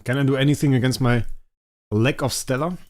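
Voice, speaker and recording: A young man, a native German speaker, casually thinking aloud as he streams a game, his sentences often trailing off quietly. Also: mid-range voice, fairly even intonation, brisk. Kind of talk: casual livestream commentary.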